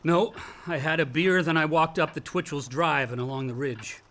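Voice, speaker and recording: An actor playing a volatile, wounded college-age son in a radio play, with a sardonic, defensive American delivery. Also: male voice, on the high side, steady tone, normal speed, fairly bright tone, close to an everyday voice. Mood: subdued. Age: young adult. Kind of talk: naturalistic radio play acting.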